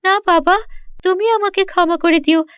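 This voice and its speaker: A woman's voice: very high voice, steady tone, normal speed, a bit bright, no room sound, studio quality, pitched above the performer's own voice. A woman voicing a naive, tender-hearted young princess in a children's cartoon, with an earnest, pleading manner. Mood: apologetic. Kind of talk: children's cartoon story dialogue.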